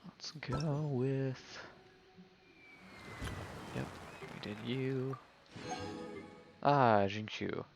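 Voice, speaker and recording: A man's voice: medium pitch, extremely slow, vocal fry, long pauses, over music. A man streaming a video game and giving casual live commentary as he plays.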